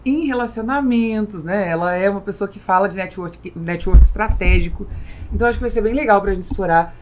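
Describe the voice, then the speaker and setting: A woman's voice: mid-range voice, fairly quick, darkish tone, a little hiss or hum. A middle-aged woman with a Brazilian accent interviewing conversationally as a podcast host, close to the microphone in a small studio. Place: small studio with acoustic foam, indoors. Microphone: close podcast microphone on boom arm.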